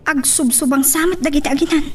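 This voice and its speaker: An actress playing a worried older relative in a radio soap, fretful and musing, with a husky voice. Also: female voice, high voice, quick pace, a little hiss or hum, natural voice. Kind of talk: radio soap drama acting.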